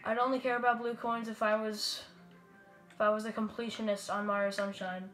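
A woman giving rambling, casual commentary while playing a video game, with excitable bursts. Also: medium pitch, a little fast. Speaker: let's play gamer. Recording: roomy echo with game music.

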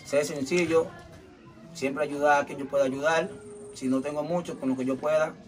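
A middle-aged Dominican man with a Dominican Spanish accent, answering interview questions in a plain, calm and devout way. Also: on the high side, normal speed, soft background music. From Dominican Republic. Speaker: interviewee, gagá musician and manager. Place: small wooden shrine shack, daylight.

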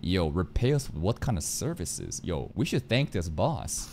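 A young man doing excitable livestream reaction commentary, slangy and crude in his joking, speaking close to a boom-arm mic. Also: moderate pace, noisy background. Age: young adult. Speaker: streamer reacting to a game trailer. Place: small indoor room. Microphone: boom-arm microphone up close.

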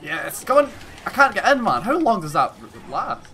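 A young man streaming a game on a headset, swearing and joking with friends, his voice going hoarse. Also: high-pitched, moderate pace, faint music behind. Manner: excitable, swearing, sings snatches. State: losing his voice. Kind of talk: casual gaming chat.

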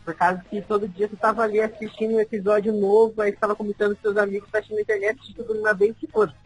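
A man's voice: high-pitched, level tone, very fast, husky, rough recording. A young man from Brazil chatting on a podcast, reminiscing in a nostalgic way. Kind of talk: casual group chat. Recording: faint music behind.

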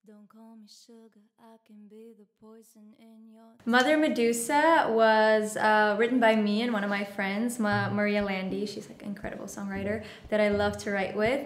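A woman's voice: medium pitch, fairly even intonation, normal speed, hardly any pauses, echoey room. A young woman in her twenties from Bulgaria, chatting upbeat and casually in an interview, with lots of "like" and "uh" fillers. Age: 20s. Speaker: singer-songwriter guest. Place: small bright studio room. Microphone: studio condenser mic on stand.

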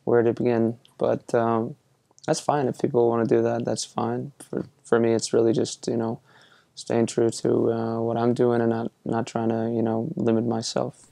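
A young man from Iceland answering interview questions casually, with a relaxed, hesitant manner full of fillers and restarts. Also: medium pitch, normal speed, gravelly, no echo. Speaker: musician being interviewed.

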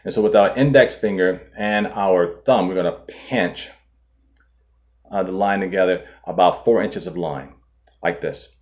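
A man in his forties, host of a fishing channel, calmly walking viewers through tying a knot step by step, filmed indoors at a table. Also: deep voice, a little slow. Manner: calm, methodical, walks through each step. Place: indoor studio in front of a boat.

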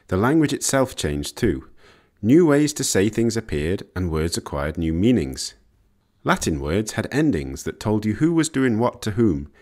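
A man reading scripted educational narration in a measured, explanatory way. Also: lowish voice, a little mellow. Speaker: narrator of an educational language history video.

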